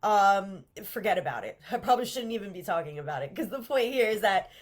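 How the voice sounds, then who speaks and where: A woman's voice: mid-range voice, sing-song, brisk. A congested woman with a cold, leading a live-streamed manifestation workshop in an earnest, encouraging way and often restarting her sentences. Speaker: manifestation coach hosting a livestream workshop. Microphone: livestream camera mic.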